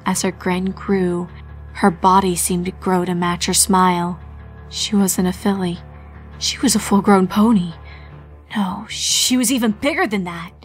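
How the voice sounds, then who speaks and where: A woman's voice: lowish voice, steady tone, unhurried. A woman reading a scripted fan fiction story as its narrator. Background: background music.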